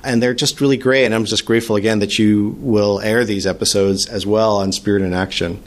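A man's voice: lowish voice, steady tone, fairly quick. A man speaking as an interview guest, telling his story in an earnest, flowing way. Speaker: guest, podcast producer. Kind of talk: conversational interview answers.

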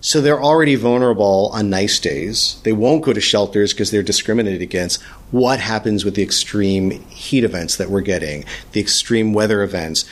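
A man's voice: lowish voice, normal speed. A man speaking as an interview guest, telling his story in an earnest, flowing way. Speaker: guest, podcast producer. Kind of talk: conversational interview answers.

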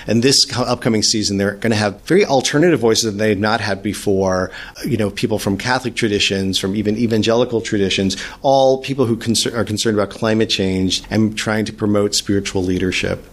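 A man's voice: lowish voice, steady tone, brisk. A man speaking as an interview guest, telling his story in an earnest, flowing way. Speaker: guest, podcast producer. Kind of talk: conversational interview answers.

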